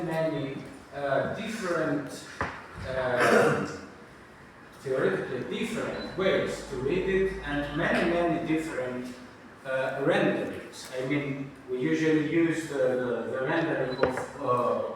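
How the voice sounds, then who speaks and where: A middle-aged man with a Greek accent giving an unscripted university conference talk, halting with many fillers and restarts and a dry self-deprecating humor. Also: fairly high pitch, fairly bright tone, slight background noise. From Greece. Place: large university lecture hall. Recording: echoey lecture hall recorded from far off.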